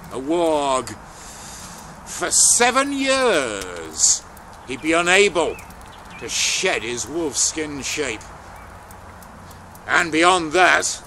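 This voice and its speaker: A man tells an old folktale at an unhurried pace, his voice bright and forceful with a creaky edge. He phrases it dramatically and deliberately, leaving pauses, with some background noise around him. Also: fairly high pitch. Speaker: storyteller. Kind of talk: oral folktale storytelling, unscripted.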